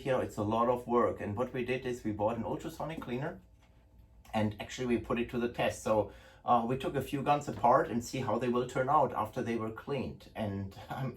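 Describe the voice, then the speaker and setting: A middle-aged man with a German accent, hosting a casual product talk to camera in an indoor room, chatty with many restarts. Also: medium pitch. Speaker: host, gun importer. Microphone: far camera. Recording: distant room sound.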